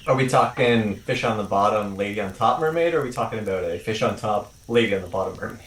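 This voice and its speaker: A man's voice: on the low side, fast talker, fairly bright tone, roomy sound, slight background noise. A man in an improvised comedy podcast, talking in a hesitant, rambling way.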